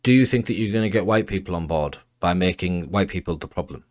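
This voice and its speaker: A man's voice: low-pitched, fast, darkish tone. A man with an English accent delivering a blunt, argumentative commentary rant, swearing freely. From England. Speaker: commentary channel host. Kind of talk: ranting commentary.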